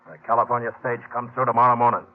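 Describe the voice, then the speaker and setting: A man's voice: mid-range voice, completely flat delivery, racing, narrow, tinny audio, soft background music, natural voice. An actor playing a hard, commanding outlaw gang boss in an old-time radio western, terse and menacing as he gives orders. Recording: thin band-limited old radio recording.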